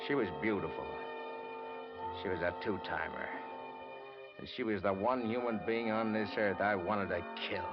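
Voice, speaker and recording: An American actor playing a bitter, brooding newspaper reporter in an old-time radio noir drama, with a dramatic, clipped delivery. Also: male voice, fairly low pitch, moderate pace, pauses often, close to an everyday voice. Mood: brooding narration. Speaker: radio drama lead and narrator. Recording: dull old radio recording with music.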